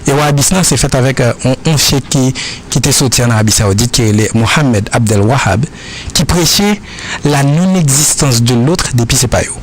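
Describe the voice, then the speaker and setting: A man's voice: medium pitch, slight background noise, low-quality recording. Earnest Haitian man answering questions. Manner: earnest, repetitive, halting phrasing.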